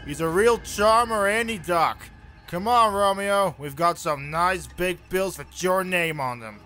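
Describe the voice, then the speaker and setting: A bossy male asylum orderly , played by a man, high-voiced and mocking. It is comic dub acting with faint music behind. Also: a little slow, bright, forceful tone.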